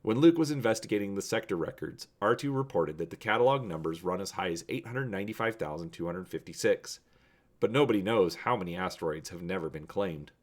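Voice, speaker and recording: A man presenting as a channel host and narrating an adventure story with expressive, theatrical emphasis. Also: deep voice, fairly quick. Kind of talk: dramatic storybook reading and presenting.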